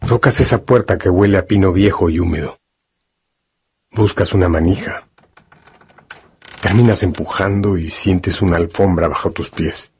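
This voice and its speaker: Deep-voiced man narrating a radio drama. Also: normal speed, pauses often, darkish tone, slightly rough. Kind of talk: dramatic scripted second-person narration. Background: faint music.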